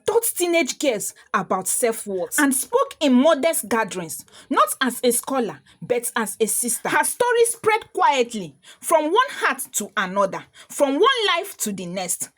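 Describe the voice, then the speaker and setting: A woman narrating a scripted dramatic story in a measured, solemn, literary manner. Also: sits high, wildly swooping pitch, fairly bright tone.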